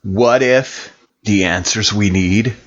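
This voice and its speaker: A man's voice: fairly low pitch, slow pace, muffled recording. A man playing a tabletop game in character, chatting casually in slangy, laid-back wording.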